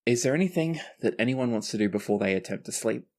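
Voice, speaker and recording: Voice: mid-range voice, animated, fast. A game master narrating a tabletop roleplaying game in a casual way, with some swearing.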